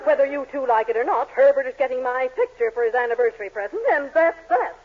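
A woman's voice: high voice, lively intonation, quick pace, background noise. An actress playing a vain, domineering middle-aged mother in a 1940s radio comedy. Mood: haughty, firm. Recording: tinny, phone-like 1940s radio recording.

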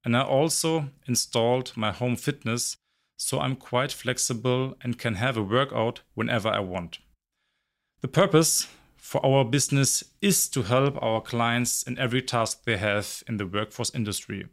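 Director, man, 40s; confident, brisk sales-style delivery. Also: medium pitch, gravelly. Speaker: recruitment company director. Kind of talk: scripted self-introduction.

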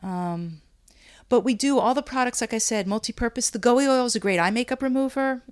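A woman's voice: a little high, brisk, clean tone. Chatty woman in her fifties. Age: 50s. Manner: chatty, laughing, rambling with restarts. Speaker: beauty brand founder. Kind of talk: casual interview chat. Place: Brooklyn.

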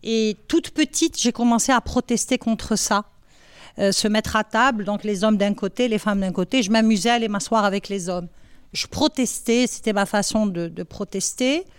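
A woman in her sixties from Algeria, answering schoolchildren's questions in a classroom, thoughtful and halting with many pauses. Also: mid-range voice, clear, resonant tone, dry recording. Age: 60s. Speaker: women's rights activist answering pupils' questions.

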